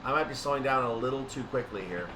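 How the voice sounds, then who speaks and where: A man's voice: mid-range voice, quick pace, far from the mic, background noise. A man giving casual gameplay commentary on a train simulator, thinking aloud and correcting himself as he goes. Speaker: gaming video host.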